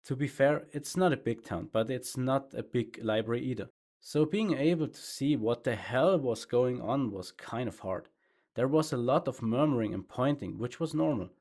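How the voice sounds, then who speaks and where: Man, fan fiction narration, first person, relaxed, casual swearing, home mic with foam. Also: mid-range voice. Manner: relaxed, conversational reading with mild swearing. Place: home room with sound foam. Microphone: improvised home mic with pop screen.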